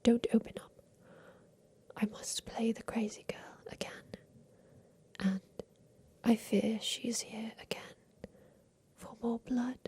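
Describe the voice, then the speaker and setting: A woman voicing a secretive, tender captive girl in a haunted attic, in a hushed, deliberate ASMR roleplay whisper that repeats phrases. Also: fairly high pitch, steady tone. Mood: tender, fearful.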